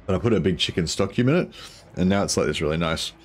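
A man, a croaky voice and a headset, chatting casually while streaming a strategy game, rambling with many ums. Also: low-pitched, animated, fast, slight background noise. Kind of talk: casual gameplay commentary.

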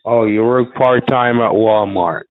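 Man pitching over a tinny phone line. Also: fairly even intonation, measured pace, no echo. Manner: formal, overly reassuring. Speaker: phone scammer. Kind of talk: scripted sales pitch on a call.

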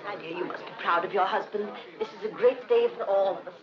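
An actress playing a dutiful, gushing colonel's wife in 1950s British film style, chatty and earnestly effusive. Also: female voice, medium pitch, a little fast, crowd noise, close to an everyday voice. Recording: muffled old film soundtrack.

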